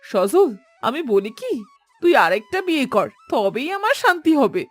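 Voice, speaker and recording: Cartoon mother-in-law played by a woman: older, high-pitched, melodramatic scold with swooping delivery. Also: big pitch swings, measured pace, dry recording, faint music behind. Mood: nagging, threatening. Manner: wailing, nagging, theatrical. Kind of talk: Bengali cartoon voice acting.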